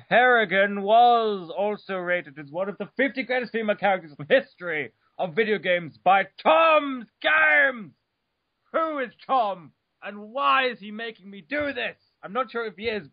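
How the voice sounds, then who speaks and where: High-voiced man doing a mock-dramatic reading. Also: no room sound. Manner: over-the-top delivery with stumbles and joking asides. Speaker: reader. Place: room at home. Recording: dull lo-fi home recording.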